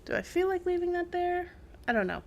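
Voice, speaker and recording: A woman's voice: extremely high pitch, sing-song, a little fast, darkish tone. A woman giving casual live-stream game commentary, rambling as she thinks aloud, with wry asides.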